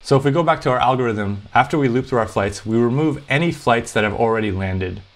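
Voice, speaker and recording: A man's voice: medium pitch, animated, fast. A man hosting a programming tutorial, explaining step by step in a conversational way.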